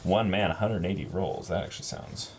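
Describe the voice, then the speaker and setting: A man chatting casually as co-host of an unboxing, excitable and jokey, swearing freely. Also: deep voice, a little fast. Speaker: YouTube channel co-host. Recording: noticeable background noise.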